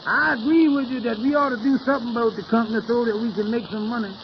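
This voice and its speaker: A man's voice: very high-pitched, fairly even intonation, fast talker. A man playing an agreeable, simple taxi company partner in old radio comedy, with folksy, ungrammatical talk. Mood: eager, agreeable. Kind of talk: 1930s radio comedy acting. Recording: muffled, noisy vintage radio recording.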